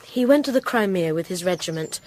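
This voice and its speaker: A woman's voice: on the low side, expressive, fairly quick, darkish tone, close to an everyday voice. An actress playing a reserved, principled Victorian married lady in a period radio drama, with formal, articulate, restrained delivery. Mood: reflective, subdued.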